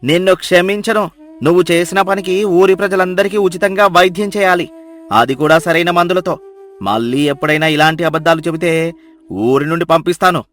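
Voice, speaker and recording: A man's voice: high voice, a little fast, a bit bright, soft background music. A man voicing a proud, emphatic older village headman in a Telugu moral story. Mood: angry, scolding. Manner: emphatic, blustering, commanding. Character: boastful loud old village headman, gullible, later humbled.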